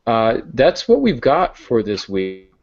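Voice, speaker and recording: A man's voice: medium pitch, wildly swooping pitch, takes it slowly, darkish tone. A man hosting a casual podcast, chatty and explanatory, with a self-deprecating sense of humor. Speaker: podcast host and novelist. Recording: dull lo-fi audio.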